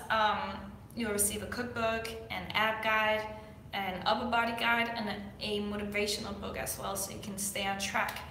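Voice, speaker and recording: Danish girl chatting thru fitness questions, lots of um and like, kinda high voice. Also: sharp tone, slight background noise. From Denmark. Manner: chatty, filler-heavy, reads viewer questions aloud. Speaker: fitness coach. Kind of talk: casual Q&A vlog. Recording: echoey room.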